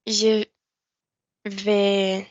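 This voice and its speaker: Slow, hesitant woman student. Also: medium pitch, monotone, darkish tone, dry recording, lo-fi sound. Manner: hesitant, tentative answers. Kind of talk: answering drill questions in class.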